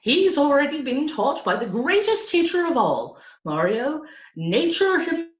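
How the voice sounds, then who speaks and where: A woman's voice: high-pitched, big pitch swings, measured pace, pitched above the performer's own voice. Woman reading as a courtly, rapturous old music teacher. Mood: delighted, admiring. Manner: grandiloquent, dramatic, emphatic. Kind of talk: children's storybook character reading. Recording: roomy, slightly dull sound.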